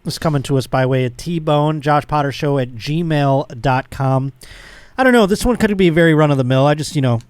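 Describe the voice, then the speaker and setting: A man's voice: fairly high pitch, brisk, clean tone, dry recording. A middle-aged American man hosting a podcast in casual, comedic banter, joking and teasing as he talks. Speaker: podcast host.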